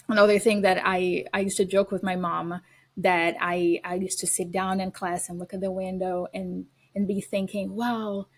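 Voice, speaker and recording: A woman from Brazil with a Brazilian accent, a guest on an interview podcast, telling earnest stories with frequent fillers and restarts. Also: mid-range voice. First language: Portuguese. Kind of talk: casual interview.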